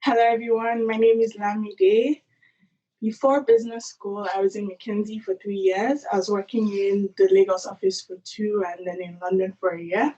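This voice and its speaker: A woman's voice: medium pitch, darkish tone. Composed young woman on a dull call. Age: young adult. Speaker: panelist. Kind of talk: panel answers. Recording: distant, dull video-call audio.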